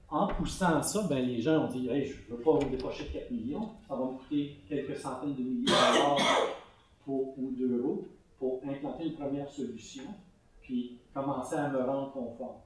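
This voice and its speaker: A man with a Québécois French accent, a conference speaker answering audience questions in a relaxed, explanatory way with frequent fillers. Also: mid-range voice. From Canada (Quebec). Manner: conversational, explanatory with many fillers. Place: large conference hall. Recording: echoey hall recorded from far off.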